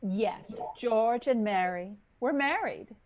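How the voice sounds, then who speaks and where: A woman's voice: fairly high pitch, animated, slow pace, a little mellow. A woman playing a storytelling narrator in a 1940s-style radio drama. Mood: cheerful.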